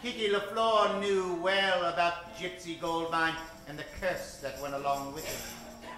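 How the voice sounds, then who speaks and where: A man's voice: high-pitched, fairly even intonation, measured pace, pushed and bright. A man narrating and announcing in a theatrical, suspenseful old-time radio style. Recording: very echoey, distant stage recording with music.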